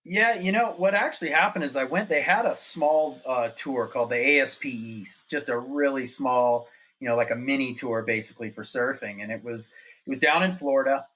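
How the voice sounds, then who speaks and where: A man's voice: medium pitch, moderate pace, fairly bright tone. Laid-back middle-aged man telling stories. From North Carolina. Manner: laid-back, rambling, laughs often. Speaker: podcast guest, former pro snowboarder. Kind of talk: casual storytelling.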